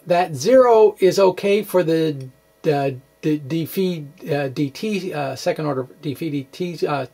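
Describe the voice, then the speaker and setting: A middle-aged man presenting a blog aloud with rambling asides, speaking in a halting, hesitant way and often correcting himself. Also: on the high side, creaky. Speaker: physics blogger and vlogger. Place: small indoor room with bookshelves.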